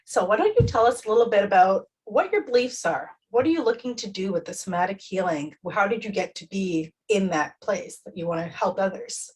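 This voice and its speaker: A woman's voice: mid-range voice, lively intonation, brisk, few pauses, darkish tone, husky, rough recording. A woman hosting a podcast, tired, who reads the guest introduction from a script and chats in a friendly way. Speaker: podcast host.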